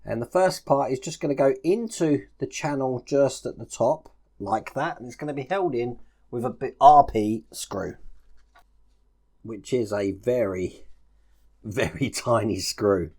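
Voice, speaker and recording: A man's voice: medium pitch, measured pace, a little mellow, breathy edge. A man chatting casually through a step-by-step build tutorial, explaining each move as he works. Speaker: host of a model-building channel.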